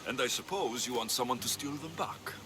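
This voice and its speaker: An actor playing a wry, steady young mercenary in a video game cutscene, speaking. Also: male voice, mid-range voice, a little fast, background noise, lightly acted. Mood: dry, teasing. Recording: music bed behind the voice.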